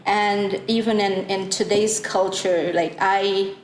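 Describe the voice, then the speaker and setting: A woman from Guyana speaks very slowly as a church panelist, telling Bible stories in a halting, reflective manner. The room is echoey, with faint noise behind her. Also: mid-range voice, steady tone. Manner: halting, thoughtful storytelling.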